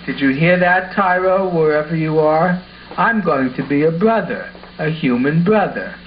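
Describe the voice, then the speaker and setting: A man playing an earnest, excitable schoolboy who explains things eagerly in an amateur puppet show. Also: a little high, unhurried, natural voice. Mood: wistful. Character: Lance, earnest excitable schoolboy puppet spinning wild tales. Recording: muffled audio with faint background noise.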